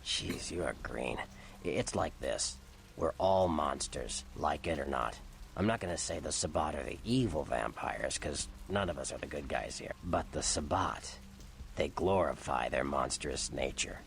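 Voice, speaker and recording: An actor playing a seedy, cynical vampire informant in a video game, sardonic and streetwise in manner. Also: male voice, expressive, unhurried, a little hiss or hum, full character voice. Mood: scornful, explaining. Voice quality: gravelly, deep.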